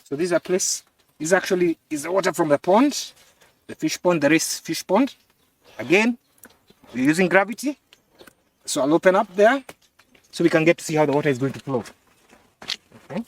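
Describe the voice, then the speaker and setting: A young adult man with a Kenyan accent explaining a farm demonstration on camera, haltingly and with repeated words. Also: on the high side, animated, no room sound. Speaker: fish pond and crop farmer. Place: outdoors on a farm beside ponds. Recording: distant mic, outdoor background noise.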